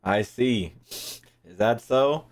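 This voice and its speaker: A man's voice: mid-range voice, slow pace. A man doing casual streamer reaction commentary, with slangy, profane and incredulous asides. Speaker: streamer reacting to game lore.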